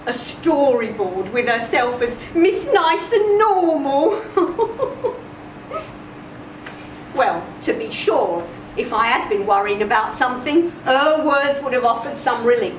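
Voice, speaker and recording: A woman giving an expressive, deliberate dramatic reading of a first-person short story, with measured pauses. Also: on the high side. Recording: roomy, distant mic, clear background noise.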